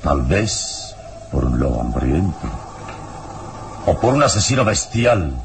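Wise enigmatic radio hero, deep voice, solemn suspense, expressive intonation, noisy vintage recording. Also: male voice, creaky, exaggerated voice. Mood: grave. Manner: deliberate, solemn, suspenseful delivery. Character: calm, wise, mysterious male hero investigator. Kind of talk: old radio serial acting.

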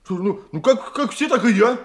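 High-voiced, stammering underling. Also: male voice, expressive, normal speed, a bit bright. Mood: hesitant, ingratiating. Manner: hesitant, stammering, repeats questions back. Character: evasive, servile small-time criminal underling, stalling for time. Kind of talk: Russian radio drama acting.

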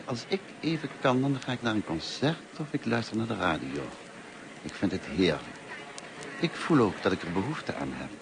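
An actor in a Dutch radio play as a kindly, talkative, lonely middle-aged teacher, courteous and musing in manner. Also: male voice, mid-range voice, very animated, moderate pace, vocal fry. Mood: chatty, earnest. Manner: courteous, musing, hesitant and self-effacing. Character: kindly, talkative, lonely grey-haired male French teacher. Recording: noisy old radio play recording.

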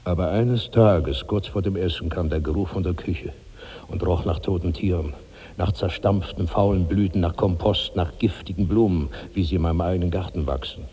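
A man's voice: deep voice, normal speed, warm tone, breathy edge. An actor playing a weary, monstrous glutton in his sixties, declaiming morbidly in a 1960s radio play. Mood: brooding disgust. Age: 60s. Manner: brooding, morbid, weighty delivery. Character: Karnak, monstrous, weary 65-year-old giant glutton. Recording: long reverberant hall.

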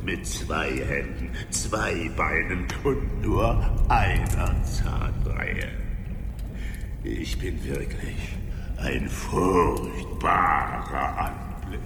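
An actor in a radio play voicing a huge, ugly, cunning giant, gravelly and breathy, self-pitying and cruel, swinging between whining whispers and shrieks. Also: male voice, a bass voice, lively intonation, very slow, background noise, exaggerated voice.

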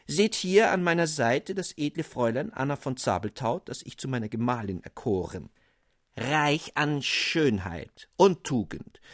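A man's voice: fairly high pitch, no echo, studio quality, voice pushed up. A man voicing a tiny, pompous gnome king who speaks in flowery, grandiloquent and fawning phrases in a storybook reading.

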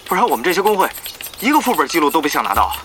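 A man's voice: a little high, sing-song, racing. A man playing a proud, stubborn online game guild leader in an audio drama. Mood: indignant.

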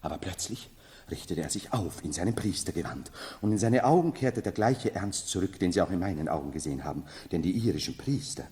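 An actor in a 1970s German radio drama playing a bitter, mocking nobleman who feigns madness as a medieval emperor, declaiming theatrically between hush and outcry. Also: low voice, expressive, fairly quick. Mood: quiet, reflective. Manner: declamatory, swinging from hushed to exclaiming. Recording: reverberant hall.

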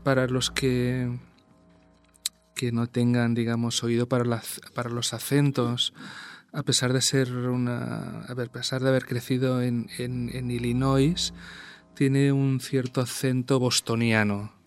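A man with an accent from Spain discussing casually as a co-host, halting and rambling, often repeating words. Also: mid-range voice, level tone, smooth, faint music behind. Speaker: show co-host and commentator.

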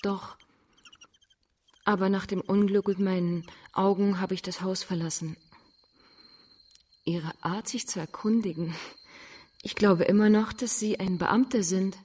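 Frank, gentle young woman. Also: mid-range voice, steady tone, unhurried, pauses often, husky. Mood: earnest, reproachful. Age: young adult. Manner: candid, composed, earnest. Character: frank, gentle young blind woman who keeps singing crickets. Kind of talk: German radio drama acting.

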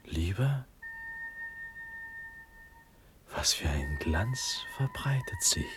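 An actor playing a dreamy, ardent young prince in a German classical radio drama, speaking in hushed, halting, breathy verse with sudden swells. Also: male voice, medium pitch, wildly swooping pitch, over music. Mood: awed.

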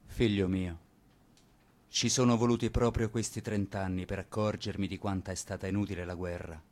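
A man playing a weary, disillusioned dead soldier who addresses his son in a grave, deliberate theatrical monologue. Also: sits low, fairly even intonation, darkish tone, natural voice. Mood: bitter, grave.

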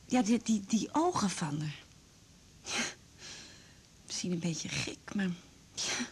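An actress playing a hesitant, wistful woman in a radio drama, confiding in a halting, hushed way. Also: mid-range voice, sing-song, slight background noise. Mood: tentative, wistful. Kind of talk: Dutch 1980s radio drama acting.

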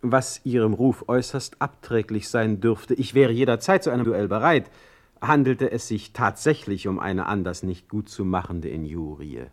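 An actor playing a proud, sarcastic poet in a German radio drama, with a theatrical, cultivated and ironic delivery. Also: male voice, fairly low pitch, moderate pace, close to an everyday voice. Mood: ironic, composed.

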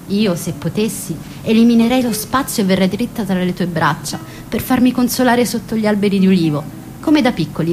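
A woman's voice: medium pitch, noisy background, close to an everyday voice. A woman playing a passionate teenage girl who longs for her distant sweetheart, reading love letters intimately in a radio drama. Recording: music under the voice.

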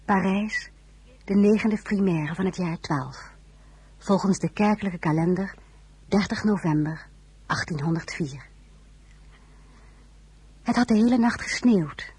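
Pensive young narrator, really breathy n husky, dry close sound. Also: female voice, mid-range voice, unhurried, long pauses, darkish tone, no room sound. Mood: calm, recollecting. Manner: intimate, reflective. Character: pensive young woman narrating her own memories. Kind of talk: scripted first-person narration.

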